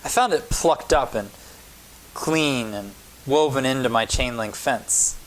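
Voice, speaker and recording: Storybook role: kind older neighbor woman, a patient gardener, slow-spoken played by a male reader. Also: mid-range voice, slight background noise, natural voice, poor audio. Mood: reminiscing. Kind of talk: storybook character dialogue.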